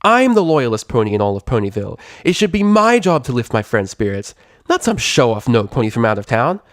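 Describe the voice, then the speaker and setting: A man's voice: medium pitch, sing-song, brisk, no room sound, studio quality, lightly acted. A man voicing a brash, irritable young female pegasus pony from a cartoon story, with a cracking voice and slangy, blustering delivery. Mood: indignant. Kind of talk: animated character voice in a story reading.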